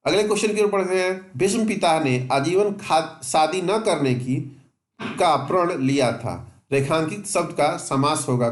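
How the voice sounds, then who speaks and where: A man teaching exam preparation informally to students, repeating and stressing his points in a reassuring way. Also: a little high. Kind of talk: informal exam-prep lesson, explaining to students. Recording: echoey, reverberant room.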